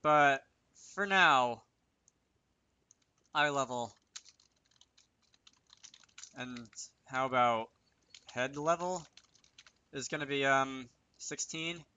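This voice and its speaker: A man's voice: mid-range voice, very slow, long pauses, bright, forceful tone, clean tone, lo-fi sound. A man giving casual, unscripted commentary over a screen recording, hesitant and thinking aloud as he works. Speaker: game developer hosting a tutorial series.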